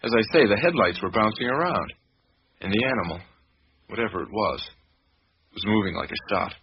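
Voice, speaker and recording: A man's voice: lowish voice, lively intonation, normal speed. An actor playing a guarded, matter-of-fact male witness in a radio drama, talking in an emphatic, down-to-earth way. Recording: muffled radio recording with clicks and hiss.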